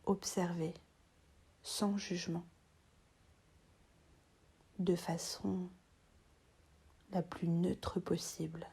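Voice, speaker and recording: Very breathy, slow-speaking woman guiding relaxation. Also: fairly low pitch, little pitch movement. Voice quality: soft, breathy, half-whispered. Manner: soothing, unhurried, long pauses between short phrases. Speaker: yoga teacher. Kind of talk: guided relaxation meditation.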